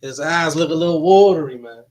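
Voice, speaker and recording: Casting: male reaction streamer, slightly high voice, loose slangy commentary, repeats phrases. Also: fairly quick, a little mellow. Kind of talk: casual running commentary.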